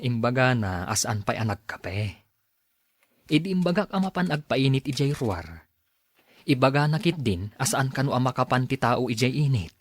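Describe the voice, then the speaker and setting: Man as brisk, attentive son in audio drama. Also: mid-range voice, animated, dry recording, natural voice. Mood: concerned. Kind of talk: Ilocano audio drama acting.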